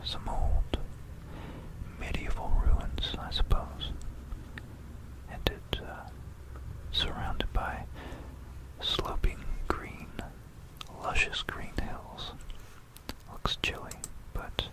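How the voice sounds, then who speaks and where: An ASMR host whispering an educational history talk in an unhurried, explanatory manner, close to a stereo microphone. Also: poor audio. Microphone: close stereo binaural microphone.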